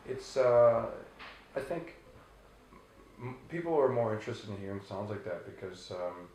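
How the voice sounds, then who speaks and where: Brief: deep-voiced male rock singer, loose rambling answers, roomy echo. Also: moderate pace, slight background noise. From Canada. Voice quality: very low bass voice. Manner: relaxed, rambling, many restarts and fillers. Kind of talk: casual interview answers.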